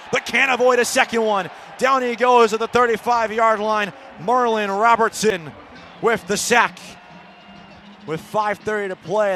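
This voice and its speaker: Higher-pitched American football radio commentator man. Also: a bit bright. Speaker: play-by-play radio sports commentator. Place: football stadium broadcast position. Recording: outdoor stadium background noise.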